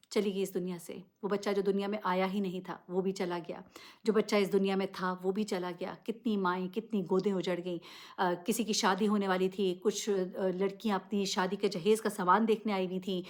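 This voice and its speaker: Female vlogger, mid-pitched, for off-the-cuff news commentary; emphatic, direct, questioning delivery. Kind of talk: unscripted news commentary to camera.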